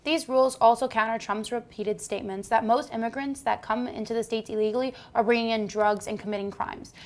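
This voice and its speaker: A woman's voice: fairly high pitch, steady tone, fairly quick, a little mellow. A woman presenting a scripted news report, reading facts and figures from a script.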